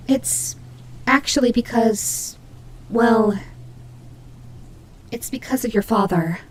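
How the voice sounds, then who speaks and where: A woman's voice: a little high, a little mellow, slight background noise, poor audio. A woman playing a prickly but caring female nightmare demon rabbit in an audio roleplay, speaking to the listener with hesitant, teasing, flustered delivery. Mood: subdued, confessing.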